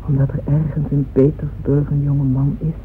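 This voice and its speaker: A woman's voice: deep voice, level tone, normal speed, a little hiss or hum, natural voice. An actress playing an ageing, devoted and wistful wealthy mother in a radio play, speaking emotively and intimately. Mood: wistful, confiding. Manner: emotive, intimate, with hushed asides. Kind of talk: dramatic radio play monologue. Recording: muffled phone-like old radio recording, music behind.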